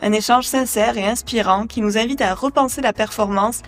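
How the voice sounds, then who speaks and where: Higher-voiced woman hosting a podcast. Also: a little fast, soft background music. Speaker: podcast host, naturopath. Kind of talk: scripted intro then interview questions.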